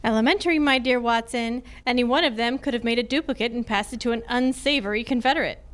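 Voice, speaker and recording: A woman's voice: fairly high pitch, quick pace, fairly bright tone, close to an everyday voice. A woman playing a wry, businesslike private detective in an old-time radio mystery, both narrating and in dialogue. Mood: businesslike, probing. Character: brisk wisecracking female private detective Candy.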